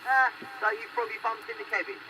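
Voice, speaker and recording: A man's voice: extremely high pitch, fast. A man chatting casually as controller of a CB radio net, heard over a noisy, distant radio link. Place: inside a van.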